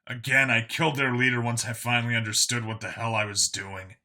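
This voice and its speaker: A man's voice: mid-range voice, level tone, brisk, pushed and bright. A man voicing a world-weary clone cop in an audio story, burdened by guilt and explaining things matter-of-factly. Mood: sheepish, earnest.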